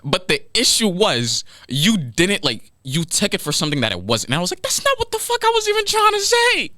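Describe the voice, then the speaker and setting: A man's voice: high voice, no room sound, clean studio sound. A man in his early twenties hosting a podcast, chatting casually and joking with a guest. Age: 20s. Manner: animated, quick and joking, drops to mumbles. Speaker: podcast host. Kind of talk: casual chat.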